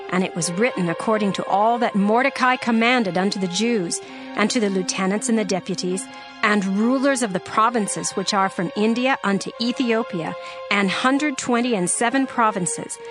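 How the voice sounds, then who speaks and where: A woman narrating scripture in a steady, formal storytelling cadence. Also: medium pitch. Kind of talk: dramatized scripture reading. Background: soft music.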